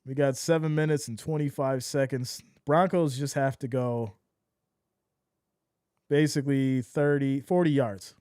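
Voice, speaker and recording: A man doing live fan play-by-play of a football game, excitable and losing his voice, swinging from shouts to deflated mutters. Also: medium pitch, a little slow, clean tone, no room sound, studio quality.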